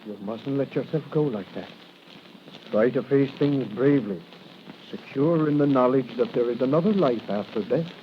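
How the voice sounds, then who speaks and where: An actor playing a gentle, solemn prison priest with a measured manner, in 1940s radio drama on a hissy recording. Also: male voice, medium pitch, dull audio, close to an everyday voice. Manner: soothing, measured.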